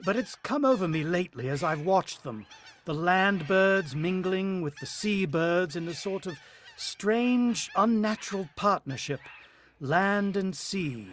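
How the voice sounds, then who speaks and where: An actor playing a brooding, uneasy husband and father in a vintage-style radio drama, musing with dramatic pauses. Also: sits high, animated, fairly bright tone, a little hiss or hum.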